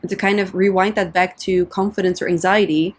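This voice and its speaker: A woman from the Netherlands, a confidence coach and podcast guest, explaining ideas in a casual way and acting out quick inner voices as examples. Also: on the low side, steady tone. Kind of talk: casual interview conversation.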